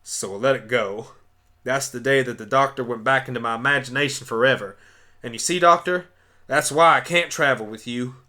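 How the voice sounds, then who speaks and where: Farm pony, female: stubborn, folksy, bright and forceful played by a man, for amateur radio drama. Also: mid-range voice, normal speed, natural voice. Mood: earnest, wistful. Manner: folksy, forthright. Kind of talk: amateur radio play acting.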